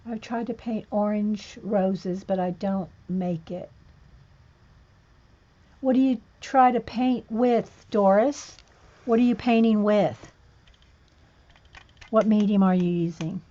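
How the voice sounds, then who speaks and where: A woman talks slowly and easily through a painting livestream, answering viewers' comments as she works at her table. She drifts into soft, half-muttered asides about her brush and colors. Her voice sits at an ordinary pitch, and the audio sounds dull and lo-fi. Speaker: art livestream host. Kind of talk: casual livestream talk while painting. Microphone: overhead camera on hands and page.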